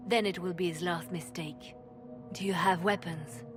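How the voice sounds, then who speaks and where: An actress playing a hardened, bitter captive in a video game, defiant, stern and clipped. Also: female voice, fairly low pitch, fairly even intonation, normal speed, music in the background, close to an everyday voice. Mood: curt, resolute. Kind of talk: video game cutscene acting.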